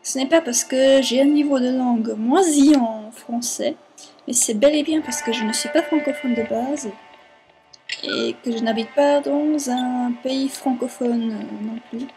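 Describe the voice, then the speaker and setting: A young woman whose first language is not French, giving casual let's play commentary, often pausing with fillers as she searches for words. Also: sits high, mellow, soft background music, rough recording. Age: young adult.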